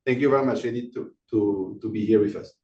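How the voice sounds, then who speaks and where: A man with a French accent presents a guest speaker in a measured voice of middling pitch. He stumbles and restarts often. The sound is roomy and distant, with a dull tone and faint background noise. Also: mellow. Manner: halting, with frequent restarts. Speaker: session moderator. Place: conference session.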